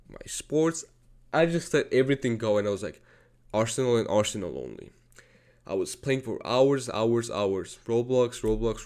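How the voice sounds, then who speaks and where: A young man from Georgia, a native Georgian speaker, telling a personal story in an earnest, repetitive way with frequent swearing. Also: mid-range voice. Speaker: vlogger. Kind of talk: personal confessional monologue.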